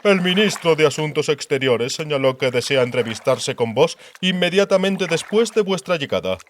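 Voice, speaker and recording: A man acts out a haughty male diplomat from a fantasy audiobook. His speech is formal and courtly, slightly high and very animated in melody. Watery rowing noise runs clearly around the voice. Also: moderate pace, close to an everyday voice. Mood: courteous. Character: haughty aristocratic male ambassador, courteous diplomat hiding resentment. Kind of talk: dramatized audiobook dialogue.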